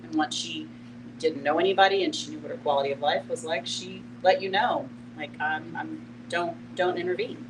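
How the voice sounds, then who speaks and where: Low, even-voiced woman, noisy recording. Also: normal speed. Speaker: panelist on end-of-life care. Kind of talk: informal panel discussion. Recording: noisy live room with hum.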